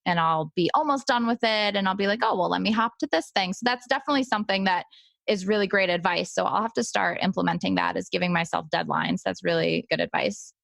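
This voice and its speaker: A woman's voice: lowish voice, creaky, fairly bright tone, dry recording. A woman hosting a podcast interview, chatting casually in an enthusiastic, agreeable way.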